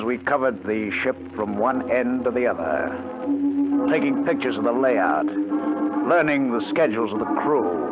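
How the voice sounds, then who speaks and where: A man's voice: medium pitch, natural voice. An actor playing a confident crook who narrates his own scheme in a 1950s radio drama. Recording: muffled, noisy 1950 radio recording.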